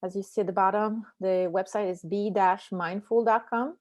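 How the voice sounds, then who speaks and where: A woman's voice: medium pitch, normal speed. Middle-aged French woman, accented English, easygoing presenter over a computer mic. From France. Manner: relaxed, many ums and uhs. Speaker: beekeeping presenter. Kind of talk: online slide presentation.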